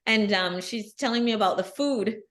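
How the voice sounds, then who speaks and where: A middle-aged woman, a guest on an interview podcast, telling her story in an earnest, animated way. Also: medium pitch, moderate pace. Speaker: guest, bikini athlete. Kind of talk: casual interview.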